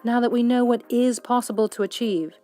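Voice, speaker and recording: A woman reporter reading a scripted news feature in a clear, even delivery. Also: fairly high pitch, brisk, soft background music.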